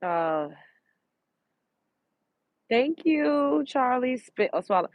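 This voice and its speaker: A woman's voice: sits high, big pitch swings, very slow, a little mellow, dry recording. A congested woman from Brooklyn, chatting bluntly and profanely on a live stream, outdoors on a street, reading viewer comments. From Brooklyn, New York.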